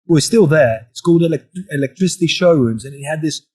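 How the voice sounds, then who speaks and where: A man's voice: a little high, quick pace, a little mellow, breathy edge. A man from Greece, a DJ and label owner, chatting loosely as an interview guest and often saying "you know what I mean". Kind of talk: casual conversational interview.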